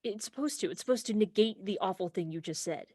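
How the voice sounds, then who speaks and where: A young woman acting in a comic radio play as an earnest, headstrong police officer, brisk and argumentative. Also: mid-range voice, mellow, no room sound, clean studio sound, close to an everyday voice. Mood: indignant, earnest. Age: young adult.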